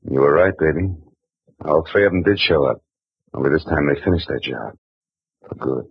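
Give me a dry, hard-boiled detective narrating low and deep from a dull old radio drama. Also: male voice, moderate pace. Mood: tough. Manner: dry, wisecracking first-person narration. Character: hard-boiled male private eye, wry and tough. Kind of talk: 1940s radio acting.

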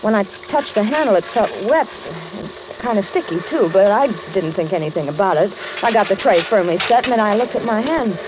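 A woman's voice: mid-range voice, expressive, a little fast, natural voice. An actress playing a polite, trusting young American carhop in a 1940s radio suspense play, hesitant and confiding as she grows uneasy. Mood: uneasy recounting. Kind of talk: 1940s radio suspense acting, narrated in first person. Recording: crackly, muffled 1940s radio recording.

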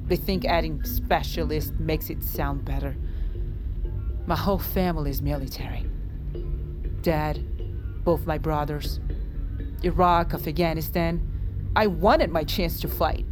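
Woman acting hard-edged bitter security officer in audio drama, lowish voice, music under it. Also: unhurried, pauses often, lightly acted. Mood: bitter, wry. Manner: sardonic, blunt, hard-edged. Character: bitter, defiant female security officer, ex-army, locked up.

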